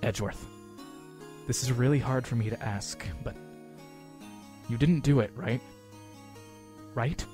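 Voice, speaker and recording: An actor playing an earnest, easily flustered young defense lawyer with a wry streak in an anime-style audio drama. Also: male voice, medium pitch, measured pace, pauses often, music in the background, lightly acted.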